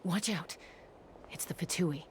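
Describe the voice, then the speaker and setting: A woman playing a calm, level-headed young warrior in a video game, steady and deliberate. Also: lowish voice, a little hiss or hum, natural voice. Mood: calm, watchful.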